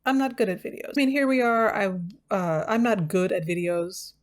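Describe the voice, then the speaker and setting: A woman's voice: medium pitch, animated, normal speed. An American woman hosting a book channel, talking casually and off the cuff to viewers in a wry, self-deprecating, digressive way. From United States. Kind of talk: casual, unscripted vlog talk to viewers.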